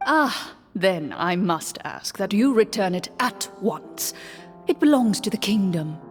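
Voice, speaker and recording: A woman voicing a male prime minister in a fantasy game, formal, scheming and smoothly polite. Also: medium pitch, big pitch swings, unhurried. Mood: calculating, insistent. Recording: long hall reverb, music underneath.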